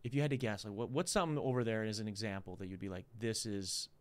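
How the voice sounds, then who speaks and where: Chill podcast host guy, lowish voice, rambly questions. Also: fast talker. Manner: laid-back, loose phrasing with many fillers and restarts. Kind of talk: casual podcast interview.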